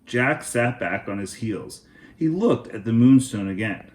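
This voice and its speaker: A man hosting a children's read-aloud, narrating a storybook in a deliberate, expressive way. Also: mid-range voice, echoey room.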